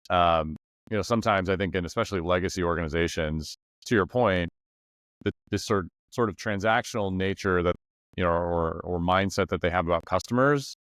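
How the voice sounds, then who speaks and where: Deep-voiced man hosting a podcast. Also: clean tone, no room sound, studio quality. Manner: talkative, thinks aloud with many "right?" and "like". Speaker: podcast host. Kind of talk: casual interview chat.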